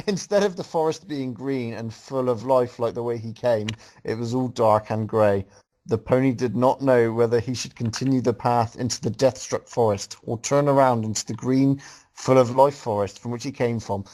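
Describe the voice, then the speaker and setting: A man's voice: mid-range voice, moderate pace, mellow, poor audio. Man reading aloud with mocking asides. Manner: breaks off to mock the writing. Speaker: host reading a fan fiction aloud. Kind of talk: casual reading aloud with joking commentary.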